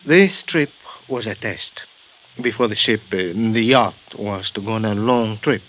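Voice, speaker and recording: An actor playing a helpful, bewildered Mexican doctor with a Mexican Spanish accent, halting as he searches for English words, in a 1950s radio drama. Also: lowish voice, a bit bright, highs cut off. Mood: earnest. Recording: old radio broadcast recording.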